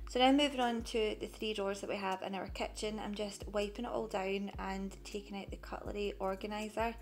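A woman's voice: medium pitch, steady tone, fairly quick, faint music behind. A young woman narrating her cleaning vlog over the footage in a chatty, matter-of-fact way. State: pregnant. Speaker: cleaning vlogger.

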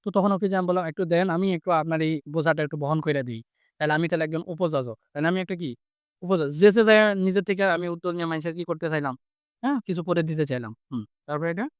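Higher-pitched Bangladeshi man teaching. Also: quick pace, clear, resonant tone, no room sound, clean studio sound. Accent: Bangladeshi Bengali. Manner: conversational, repeats phrases and asks check questions. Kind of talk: interactive vocabulary lesson, explaining and quizzing.

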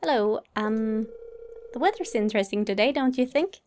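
A woman's voice: fairly high pitch, normal speed, darkish tone, slight background noise. A woman giving casual let's play commentary as a host, chatty and a little hesitant, musing to herself. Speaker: gaming video host. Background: game music.

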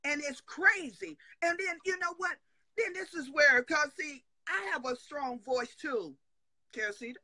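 A woman's voice: high voice, measured pace, pushed and bright, no echo, clean studio sound. A middle-aged woman hosting a live stream, preaching with emphatic declarations and call-and-response asides. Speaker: host of a live prophetic talk stream. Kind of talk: fiery preaching-style talk. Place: indoor room at home. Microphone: webcam on a live video call.

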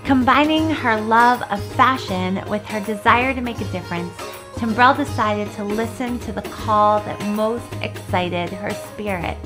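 A woman host narrating a story in a calm, measured voice-over. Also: mid-range voice. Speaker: host and interviewer. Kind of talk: scripted voice-over narration and brief interview replies. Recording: faint music beneath.

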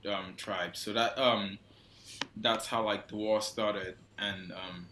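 Young Nigerian man, bright roomy voice. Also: fairly low pitch, steady tone, slow pace, slight background noise. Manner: loose and hesitant, heavy use of "like" and restarts. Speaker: guest photographer. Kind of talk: casual interview answers. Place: small indoor room. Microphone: wired earphone mic on a video call. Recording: roomy echo.

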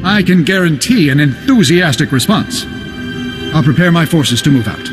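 A man's voice: fairly high pitch, animated, normal speed, close to an everyday voice. An actor playing a confident, swaggering male army colonel with a self-assured manner in a video game cutscene. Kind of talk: dramatic game cutscene acting. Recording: game music behind the voice.

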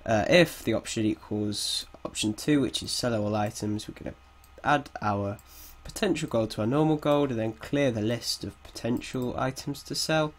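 A man with a cold, sounding a little croaky, thinking aloud through a coding tutorial with hesitant pauses. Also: mid-range voice. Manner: thinks aloud while typing, hesitant, chuckles at mistakes. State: has a cold, slightly croaky. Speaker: game development tutor. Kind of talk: casual screen-recorded coding tutorial. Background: keyboard typing.

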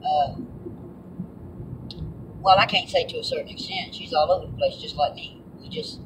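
A man's voice: high-pitched, huge pitch swings, normal speed. A man with a Southern US accent chatting casually and folksily as he vlogs from a moving car. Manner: folksy, colloquial. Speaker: vlogger. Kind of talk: casual rambling chat while driving. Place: inside a moving car on a road. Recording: loud car road noise, distant mic.

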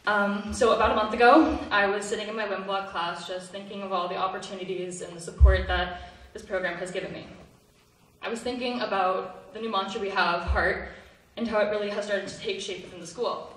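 A high school girl giving an earnest prepared tribute speech at a school concert, with some hesitant fillers. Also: steady tone, fairly quick, fairly bright tone. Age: teens. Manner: earnest, reading from notes with fillers. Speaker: student speaker. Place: school auditorium. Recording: echoey hall, voice from far off.

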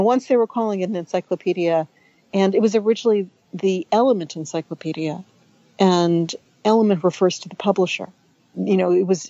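Low-pitched woman in a chatty interview. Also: no room sound, muffled recording. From United States. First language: Hungarian. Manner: chatty, many restarts and asides, laughs softly. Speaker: author and guest. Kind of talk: relaxed conversational interview.

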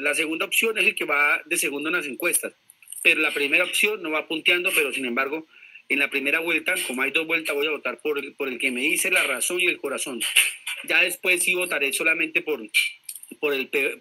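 Caller, Colombian man, 30s, earnest political talk, bright edgy tone. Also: on the high side, poor audio. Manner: earnest, halting with fillers.

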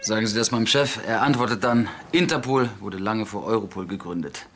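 An actor playing a dry, wry police case analyst. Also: male voice, lowish voice, brisk, a bit bright, a little hiss or hum. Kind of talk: TV crime drama acting. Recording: echoey room with music.

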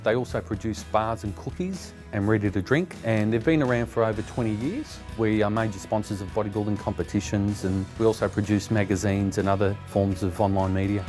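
A middle-aged man, a fitness coach, explains plainly in a seated interview. His voice sits on the low side, picked up by a lapel mic, with soft music underneath. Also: fairly even intonation, brisk, few pauses. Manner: explanatory, matter-of-fact. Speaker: fitness coach and personal trainer. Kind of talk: sit-down interview.

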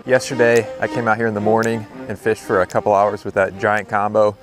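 A man's voice: on the low side, level tone, a little fast, music in the background. A young American man chatting casually and informally to camera as a fishing vlogger outdoors. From United States. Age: young adult. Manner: easygoing, informal. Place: outdoors by a pond, windy.

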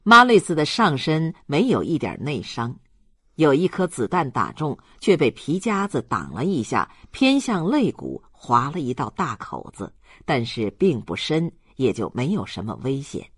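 An audiobook narrator reading a literary story in a measured, dramatic storytelling manner. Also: medium pitch, wildly swooping pitch, a bit bright, husky, no echo, studio quality.

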